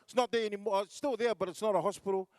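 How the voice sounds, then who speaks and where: A man's voice: sits high, steady tone, racing, no room sound, studio quality. A man from New Zealand performing live standup comedy as MC, telling stories with lots of fillers and restarts. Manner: storytelling with acted-out voices, many fillers and restarts. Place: large concert hall stage.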